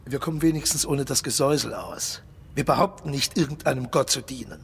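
An actor playing a suave, cynical mercenary colonel and old-hand spy in a radio play, polite but insistent, condescending and menacing. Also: male voice, mid-range voice, fairly quick, vocal fry, breathy edge. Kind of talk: German radio play acting.

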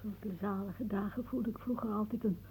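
An actress playing a sardonic, rambling old aunt in a radio play, given to suggestive musings and muttering. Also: female voice, medium pitch, fairly even intonation, normal speed, a little hiss or hum, natural voice. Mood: wry, suggestive. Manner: muttering musings, then calling out loudly. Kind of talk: theatrical radio play acting. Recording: narrow, tinny band like old radio.

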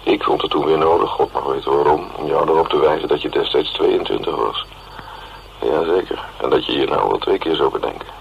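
A man's voice: extremely low pitch, brisk, creaky. An actor with a Netherlands Dutch accent playing a wry, cynical working man in a radio drama, musing ruefully in a sardonic, rambling way. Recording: dull, hissy old radio recording.

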